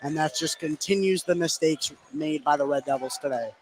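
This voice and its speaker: A man's voice: a little high, normal speed, crowd noise, poor audio. An American man giving live play-by-play radio commentary on a basketball game. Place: indoor high school gym during a game. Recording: clear background gym noise.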